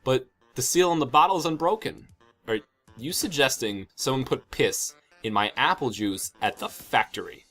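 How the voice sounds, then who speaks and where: Guy doing a too-cool snarky teen, slangy and dry but pitch moves a lot, music in back. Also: animated, moderate pace, a bit bright. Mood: skeptical. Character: cool, sarcastic, foul-mouthed teenage boy. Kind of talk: amateur comic fan dub dialogue.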